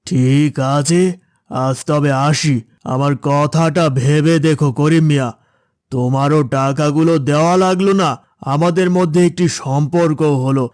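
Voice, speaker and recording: Casting: man as pushy cartoon village boss, higher-than-average male voice, domineering and wheedling, recorded dry. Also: fairly even intonation, measured pace, darkish tone, clean tone, no echo, natural voice. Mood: pressing, smug. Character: pushy, scheming village headman demanding his money. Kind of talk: Bengali cartoon voice acting.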